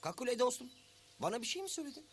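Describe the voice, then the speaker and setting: A man's voice: extremely high pitch, very animated, very fast, a little hiss or hum. A man voicing a friendly, hardworking handy animal in a children's cartoon, reasoning amiably. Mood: puzzled, apologetic.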